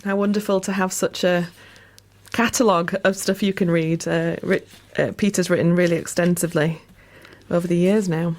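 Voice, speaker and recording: A woman's voice: on the low side, fairly even intonation, moderate pace, a little mellow. A woman hosting a conversational radio interview and chatting with her guest.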